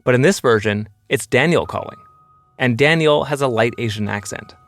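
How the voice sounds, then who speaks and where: A man hosting an investigative podcast, calmly narrating a scripted documentary story. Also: medium pitch, normal speed, soft background music. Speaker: podcast host and investigative reporter.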